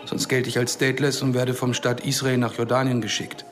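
A man's voice: mid-range voice, flat delivery, brisk, fairly bright tone, natural voice. An actor playing a proud, stubborn Palestinian man in a radio drama, with a pointed, bitterly ironic manner. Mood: insistent. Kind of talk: German radio drama dialogue. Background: music.

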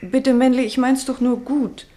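A woman's voice: high-pitched, fairly even intonation, normal speed, lightly acted. Caring, solicitous woman played by an actress. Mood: gently reproving. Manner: solicitous, gently admonishing. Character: caring, patient woman, mothering lover of a troubled writer. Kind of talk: German radio play acting.

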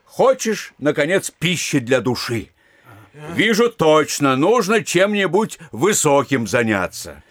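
An actor playing a gleeful townsman in a classic comedy audio drama, reading aloud in a drawn-out, declamatory way. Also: on the high side, very animated, dry recording, exaggerated voice.

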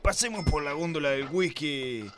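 A man acting a crude, bigoted, corrupt male police commissioner in an amateur radio play, barking insults in a gravelly voice. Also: fairly high pitch, animated, normal speed, background noise, natural voice. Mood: gruff rant. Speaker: radio drama actor.

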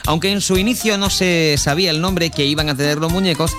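A male radio host with a Castilian Spanish accent and a slightly high voice. He talks casually, at times hesitant, over a clear music bed. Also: fast talker. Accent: Spain. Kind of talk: casual radio chat with some scripted narration. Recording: music behind the voice.

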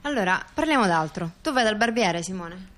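A woman narrating in a fluent, articulate, measured way, as co-narrator in a theatre dialogue. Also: medium pitch, lively intonation, fairly bright tone. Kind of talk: measured documentary-style narration with direct questions to her partner.

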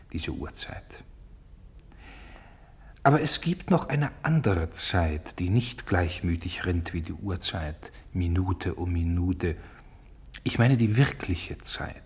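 A man playing a solemn narrator who embodies Time in a radio drama, with a husky voice and a grave, incantatory delivery. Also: deep voice, full character voice. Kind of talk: scripted literary narration.

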